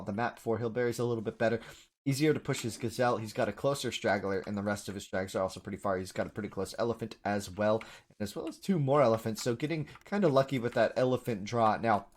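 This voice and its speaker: A man streaming live match commentary, chatting informally with his viewers as he goes. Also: lowish voice. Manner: chatty, informal, addresses chat as dude and buddy.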